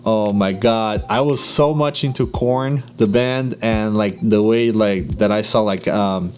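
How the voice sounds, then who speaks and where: A man's voice: on the low side, steady tone, unhurried, darkish tone, soft background music. A young man from Brazil with a Brazilian Portuguese accent, talking casually to camera, laughing often and filling gaps with ums. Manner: chatty, laughs often, many fillers and restarts. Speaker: YouTuber.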